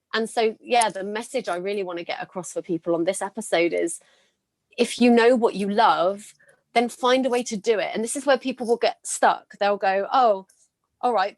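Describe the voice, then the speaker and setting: A woman with a slightly gravelly voice hosting a podcast. She shares stories in an earnest, encouraging way. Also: mid-range voice, dry recording. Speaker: podcast host. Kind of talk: casual interview chat.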